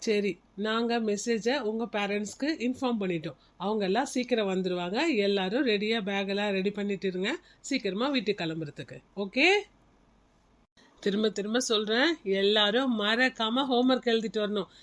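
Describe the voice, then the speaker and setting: A woman's voice: mid-range voice, moderate pace, lightly acted. A woman playing a strict but caring senior schoolteacher in a classroom skit, instructing small children and checking that they agree.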